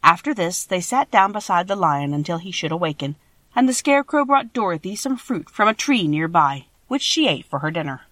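Animated woman narrating a storybook. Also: fairly low pitch, a little fast, no room sound. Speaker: audiobook narrator.

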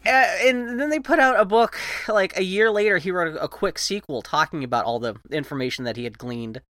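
A man's voice: high voice, a little fast, no room sound, clean studio sound. A man in his forties, a guest on a podcast, chatting in a rambling way that keeps breaking off and restarting. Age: 40s. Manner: rambling, lively and self-interrupting. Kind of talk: casual interview chat.

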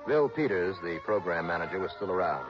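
A man's voice: deep voice, animated, a little fast, over music. A man with an American accent playing a wry, hard-boiled insurance investigator in an old-time radio detective drama, speaking in clipped tough-guy style. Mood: dry narration. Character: hard-boiled, dryly confident male insurance investigator narrating his case. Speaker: lead radio actor. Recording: dull, lo-fi 1960s radio broadcast.

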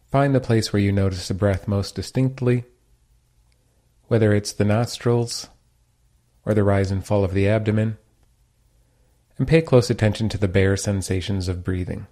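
A man leading a guided meditation as its teacher, giving calm, gentle, unhurried instructions. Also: lowish voice.

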